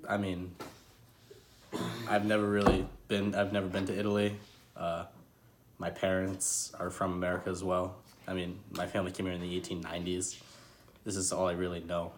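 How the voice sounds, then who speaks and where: Chill young guy from new england, low voice, faint background hum. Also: completely flat delivery, moderate pace. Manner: laid-back, shrugging, lots of "I mean". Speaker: interviewee. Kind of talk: casual interview answers.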